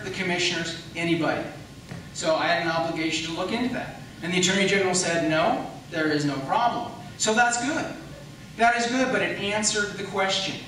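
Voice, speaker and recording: A man's bright, fairly high voice rings out in a very reverberant room, picked up at a distance from the mic. He speaks as a candidate in a political debate, pressing his points in an emphatic way. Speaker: political candidate, incumbent county attorney. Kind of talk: campaign debate speech. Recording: echoey room, distant mic, faint noise.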